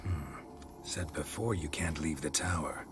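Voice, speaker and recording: An actor playing a stoic, suspicious, world-weary monster hunter in a video game, gravelly and terse, with deadpan delivery. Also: male voice, measured pace, music in the background. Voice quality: gravelly, low. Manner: terse, deadpan, clipped sentences. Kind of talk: dramatic video game acting.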